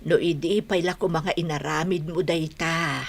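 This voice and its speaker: An older Filipino mother in a radio drama. Her voice sits on the low side as she encourages her children and scolds wrongdoing, caring throughout. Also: fairly even intonation, normal speed, gravelly. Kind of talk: Ilocano radio drama acting.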